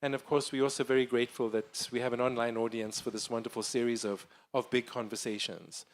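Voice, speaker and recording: A man's voice: mid-range voice, flat delivery, brisk, slight background noise. A man moderating a panel discussion, courteous and formal, with frequent hesitations as he introduces the next speaker. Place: conference hall panel.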